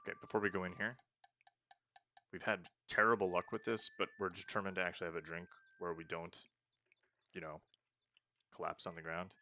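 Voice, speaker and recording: Low, gravelly man playing a game. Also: little pitch movement, brisk, long pauses, pushed and bright, highs cut off, slight background noise. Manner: thinking aloud, hesitant restarts. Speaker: let's play host. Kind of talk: casual gameplay commentary.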